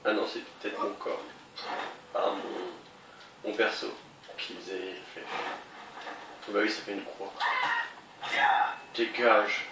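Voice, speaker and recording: A man's voice: on the low side, fairly even intonation, takes it slowly, gravelly, long pauses. A man with a slight cold giving chatty let's play commentary, thinking aloud and talking to his viewers. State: a bit congested with a cold. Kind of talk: casual game commentary. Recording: distant mic in a roomy space with noise.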